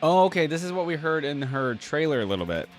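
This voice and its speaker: A man's voice: fairly high pitch, big pitch swings, fast talker, soft background music. A man hosting a reaction video, commenting casually and thinking aloud as he watches.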